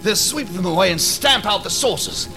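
A raving, theatrical captain in an audio drama, high in pitch and wildly animated. He declaims florid riddles erratically, with music playing behind him. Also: moderate pace, creaky. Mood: grandiose raving.

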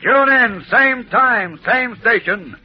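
A man's voice: extremely high pitch, slow pace, slightly rough. A man narrating a 1940s radio adventure serial in a dramatic, urgent way. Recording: dull lo-fi old radio recording with faint music.

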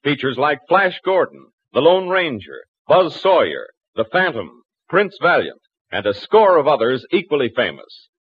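A man's voice: on the high side, very animated, moderate pace, husky, muffled recording. A man narrating and announcing an old 1940s radio adventure serial in scripted, dramatic broadcast style.

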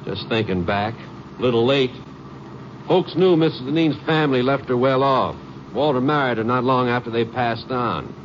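A man plays a small-town Texas sheriff in an old radio drama. He is plain-spoken and folksy, with a medium-pitched voice and few words to spare. The sound is muffled and thick with noise. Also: animated, normal speed, close to an everyday voice. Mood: reflective. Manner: folksy, laconic. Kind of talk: 1950s radio drama acting. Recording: noisy, muffled 1950s radio recording.

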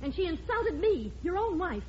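Lively, earnest middle-aged wife. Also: female voice, very high voice, moderate pace. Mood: indignant. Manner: earnest, easily wounded. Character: indignant middle-aged wife and mother, plain-spoken. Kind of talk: 1940s radio comedy acting. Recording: muffled, faintly noisy old radio broadcast.

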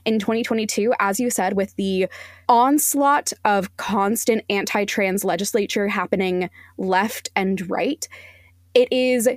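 A woman speaking as a podcast guest, giving opinions in an emphatic, deliberate way. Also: medium pitch, dry recording, clean studio sound. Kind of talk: opinionated discussion.

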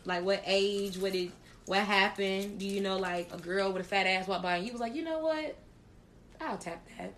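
A woman's voice: mid-range voice, normal speed, a little hiss or hum. Brash, crude-joking woman podcast host. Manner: loud, laughing, crude joking. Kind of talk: casual chat.

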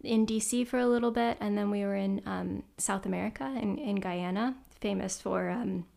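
A young adult woman, a guest journalist, sounding hoarse from a cold and chatting casually with plenty of ums. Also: medium pitch, steady tone, moderate pace, warm tone. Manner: chatty, self-deprecating, lots of ums. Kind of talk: casual interview chat.

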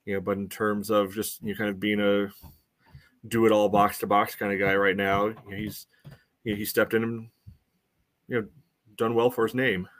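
Male co-host with a lowish voice, dry recording, conversational soccer breakdown. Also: little pitch movement, normal speed, pauses often, fairly bright tone, dry recording. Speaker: sports podcast co-host. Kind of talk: casual soccer match analysis chat.

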